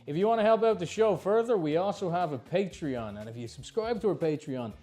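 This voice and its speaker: A man's voice: high voice, animated, a little fast, warm tone. A man reading a scripted promo as a podcast host, addressing listeners directly. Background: music.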